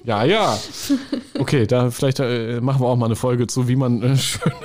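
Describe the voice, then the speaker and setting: A man's voice: mid-range voice, fairly even intonation, moderate pace, a little mellow, slight background noise. A man hosting a casual travel podcast, chatty and enthusiastic, with frequent fillers. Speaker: podcast host.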